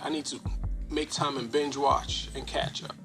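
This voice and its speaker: A man from Ghana speaks with a gravelly edge, telling relaxed stories as a podcast guest. Music plays clearly behind him. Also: mid-range voice, normal speed. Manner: laid-back storyteller, laughs often. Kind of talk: casual interview chat.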